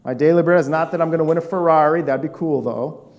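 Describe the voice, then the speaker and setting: A male pastor in his forties preaching with a slightly high, light voice. He talks in an earnest, conversational way, as if chatting with his congregation. He keeps checking in with them with a casual "right?". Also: quick pace, dull audio. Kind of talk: sermon.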